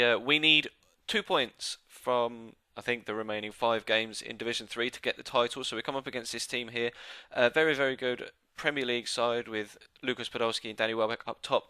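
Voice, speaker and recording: A man narrating his own football game footage in a relaxed, chatty way, full of "uh" fillers, a little congested from a coming cold, speaking into a microphone at home. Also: mid-range voice, clean tone, no echo. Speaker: gameplay video commentator. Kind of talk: casual recorded commentary over football game footage. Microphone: new desk microphone.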